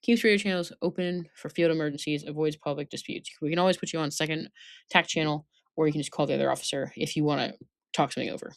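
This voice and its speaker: A woman's voice: low voice, fairly even intonation, fast talker, creaky, no echo. A woman instructor giving an informal training lesson, reading out points and explaining them, often checking that listeners follow. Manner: instructive, checks understanding with tag questions.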